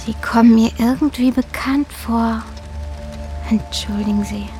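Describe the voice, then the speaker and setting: Actress as high-voiced young woman, long-lost sister, hesitant, intense, naturalistic radio drama, music behind. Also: steady tone, unhurried, dark tone, natural voice. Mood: shy, polite. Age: young adult. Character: long-lost abducted younger sister posing as drenched lost hiker.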